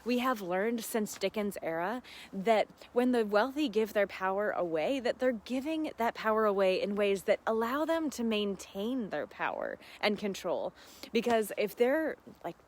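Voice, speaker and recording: A woman's voice: mid-range voice, expressive, unhurried. A woman hosting a casual livestream, talking in an earnest, heartfelt and effusive way. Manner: effusive, often laughing while talking. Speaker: host of a live Q&A.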